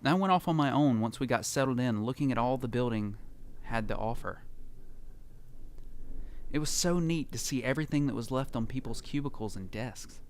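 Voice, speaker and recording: A man's voice: medium pitch, lively intonation, moderate pace, natural voice. A man playing a lonely, reflective survivor who narrates his post-apocalyptic journey in a scripted audio drama. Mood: reflective, uneasy.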